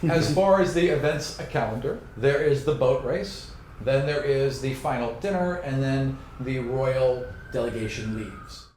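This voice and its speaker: A man's voice: medium pitch, lively intonation, a little slow, darkish tone. A man narrating an improvised tabletop game as game master, shifting between vivid storytelling and casual banter. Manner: dramatic descriptive storytelling mixed with casual banter and swearing. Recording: roomy echo, clear background noise.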